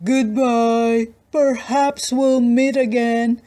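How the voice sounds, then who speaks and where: A woman doing the gruff, sly big bad wolf. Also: on the high side, flat delivery, drawn-out words, mellow, pitched above the performer's own voice. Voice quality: gruff, falsely polite.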